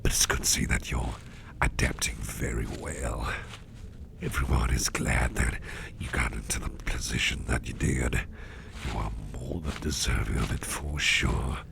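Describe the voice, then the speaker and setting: Guy doing a slow, gravelly, mischievous boss with sharp teeth, low and whispery. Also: fairly even intonation, background noise, full character voice. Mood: confiding. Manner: soft half-whispered, teasing and chummy. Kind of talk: ASMR roleplay.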